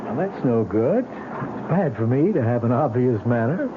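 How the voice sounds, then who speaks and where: An actor playing a patient, skeptical police detective in a vintage radio drama, speaking in a measured, probing, gently placating way. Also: male voice, medium pitch, very animated, phone-quality sound, noisy background, natural voice. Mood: patient, wry. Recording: band-limited old radio recording with music.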